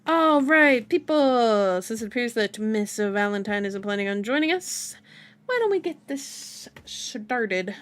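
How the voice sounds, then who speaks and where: A woman voicing a smooth, commanding male police captain from a novel, formal and projected. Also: on the high side, animated, a little slow. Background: music behind the voice.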